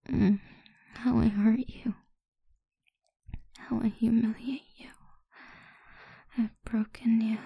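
A woman voicing a cruel, possessive and controlling mistress, talking slowly in a breathy, taunting whisper. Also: on the high side, warm tone, no room sound, heavily acted. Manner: slow, taunting and degrading. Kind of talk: whispered audio roleplay.

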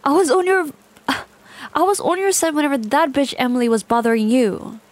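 Woman doing an obsessive stalker girl in an audio roleplay, breathy whispery voice with lots of vocal fry, slow and drawn out, sweet one sec then yelling. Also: on the high side, exaggerated voice. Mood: frantic. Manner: swings between cooing and yelling. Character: obsessive young female stalker, volatile and possessive. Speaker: voice actor.

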